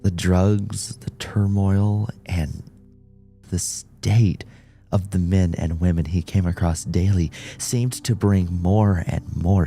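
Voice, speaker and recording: Low mellow guy reading a novel out loud, faint music under him. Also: creaky. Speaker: audiobook narrator. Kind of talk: scripted fiction narration.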